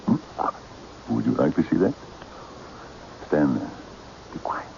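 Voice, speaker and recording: A sinister cult master with an extremely low, swooping voice. He is grandiose and condescending, coaxing like a hypnotist in an old radio mystery, on a noisy recording. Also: big pitch swings, measured pace, creaky, a little mellow, highs cut off, heavily acted. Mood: commanding, intense. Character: theatrical, sinister occult leader, imperious and coaxing.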